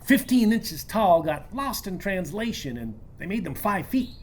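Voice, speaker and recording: Radio-play handyman: an actor as a slangy, chummy male joker, rough-voiced, with swooping intonation. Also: mid-range voice, very animated, measured pace. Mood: boastful, chummy. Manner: breezy, slangy, drawn to corny jokes. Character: folksy, jokey, slangy male handyman and flatterer.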